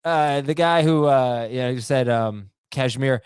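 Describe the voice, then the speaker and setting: A man's voice: medium pitch, a little slow, clear, resonant tone, no echo, studio quality. A man co-hosting a podcast, joking and self-deprecating, with many fillers. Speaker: podcast co-host. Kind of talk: casual podcast chat.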